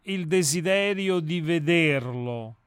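Man, fairly high voice, literary reading, theatrical deliberate delivery, smooth tone, dry recording. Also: lively intonation, no room sound. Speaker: co-host of a literary radio discussion. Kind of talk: expressive reading and literary commentary.

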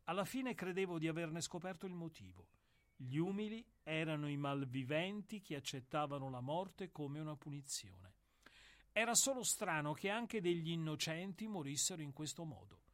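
An actor in a radio play, voicing a somber, philosophical executioner who speaks in a grave, measured and deferential way. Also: male voice, a little high, animated, natural voice. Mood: brooding. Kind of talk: radio drama acting.

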